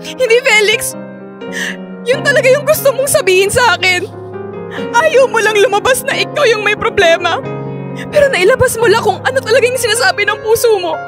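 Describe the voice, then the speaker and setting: An actress playing a hurt, insistent, childlike wife in a Tagalog radio drama, pleading and confrontational, with a Filipino accent. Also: female voice, very high voice, brisk, over music. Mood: wounded, bitter.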